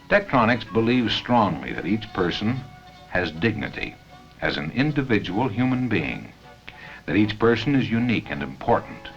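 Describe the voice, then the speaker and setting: An American man reading scripted narration for a 1970 company training film, with measured, deliberate phrasing and pauses. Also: fairly low pitch, fairly bright tone. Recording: dull 1970 film soundtrack.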